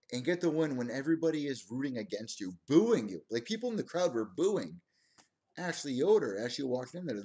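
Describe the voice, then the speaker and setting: A man in his twenties hosting his own combat sports podcast, talking in a rambling, excitable way with lots of asides. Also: a little high. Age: 20s. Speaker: podcast host. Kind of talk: casual solo stream commentary.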